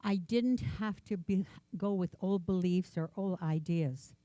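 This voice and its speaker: A woman's voice: fairly low pitch, measured pace, dark tone, dry recording. Ukrainian-native lady minister sharing her story at church, earnest, says things twice, dry close sound. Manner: earnest, reflective, repeats words for emphasis. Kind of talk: personal sermon talk.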